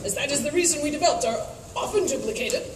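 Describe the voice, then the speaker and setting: A woman's voice: high-pitched, lively intonation, fast, recorded from a distance, music in the background. A woman playing a rude, imperious barbarian warrior queen in comic radio theatre, speaking with scorn. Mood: haughty. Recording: echoey hall from afar, noisy.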